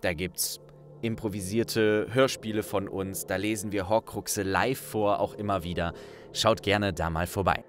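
Low-pitched man chatting casually to listeners. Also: fairly even intonation, measured pace, faint music behind. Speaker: audiobook narrator and host. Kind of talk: casual thank-you address to listeners.

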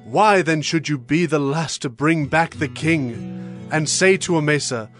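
Aged mourning monarch for audio scripture drama. High-pitched, unhurried, grave, music underneath. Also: male voice, a bit bright. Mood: solemn, earnest. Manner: solemn, drawn-out delivery. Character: grief-stricken elderly king and father.

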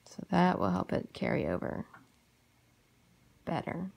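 Gravelly, low-key woman just up from sleep. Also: very animated. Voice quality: gravelly morning voice. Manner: soft-spoken, unhurried with fillers. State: just woken up. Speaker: crochet tutorial host. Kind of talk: casual tutorial chat while crocheting.

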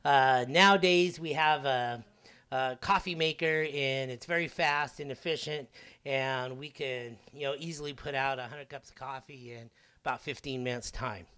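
A man's voice: mid-range voice, steady tone, normal speed, bright, forceful tone, clean tone. A middle-aged man giving a guided tour of a ski lodge, holding a handheld mic, friendly and rambling with fillers. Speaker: club member giving a cabin tour. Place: indoors, ski lodge kitchen and dormitory.

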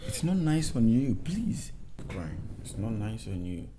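An actor playing a gentle, coaxing man in a screen drama. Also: medium pitch, very animated, brisk, slight background noise. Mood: consoling. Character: gentle, coaxing man consoling a loved one.

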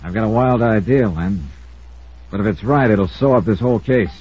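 An American man acting a brisk, commanding, hard-boiled investigator in an old-time radio detective drama, also narrating it. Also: on the low side, breathy edge. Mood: probing. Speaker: lead actor and narrator of a radio detective serial. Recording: muffled old radio recording.

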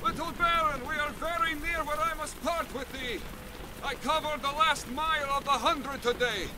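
Guy doing a wagon driver, high voice, thou-and-thee talk, rain pouring behind. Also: fairly even intonation, moderate pace. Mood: calling. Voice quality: old-fashioned speech, pleading then mocking. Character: Russian wagon driver Ivan, stubborn working man. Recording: heavy rain noise behind.

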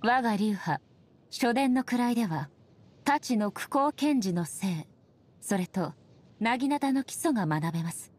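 Game role: ageless warrior goddess ruler, highish breathy voice, formal, solemn, measured delivery. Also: female voice, animated, darkish tone. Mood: stern, formal. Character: solemn, dignified ageless shogun goddess, stern yet softly sincere. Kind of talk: scripted game character voice lines.

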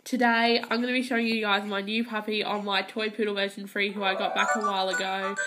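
A teenage girl talks through her game with a stuffy nose from a head cold, tired and hesitant, filling gaps with ums and restarting sentences. Her pitch sits in the middle for a girl, and she sounds like she is filming her console screen with a phone. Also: level tone, fast talker, heavy background noise. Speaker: gaming channel host. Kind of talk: casual gameplay commentary. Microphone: phone filming a handheld console screen.

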